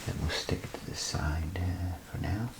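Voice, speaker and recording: A man doing a soft-spoken ASMR role play as a bathroom attendant, rambling casually and unhurriedly to the listener in a breathy, gravelly half-whisper. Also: deep voice, background noise. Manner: unhurried, casual, folksy muttering with pauses.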